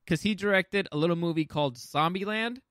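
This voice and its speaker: A man's voice: high-pitched, fairly quick, a bit bright, no echo, clean studio sound. A man hosting a casual movie chat, opinionated and emphatic, swearing freely. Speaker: podcast host.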